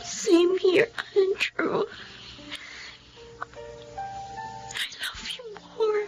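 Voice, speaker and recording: A woman's voice: extremely high pitch, extremely slow, faint music behind, heavily acted, poor audio. A woman playing Sabrina, an emotional young woman in love, in a radio drama, often tearful and pleading. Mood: tender. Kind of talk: Filipino radio drama acting.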